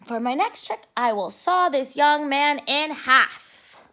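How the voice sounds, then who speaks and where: A high, bright schoolboy acted out by a woman reading aloud, the worried hero of a children's storybook who can turn sly. Every line is expressive and a little exaggerated. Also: takes it slowly, full character voice. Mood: sly, confident.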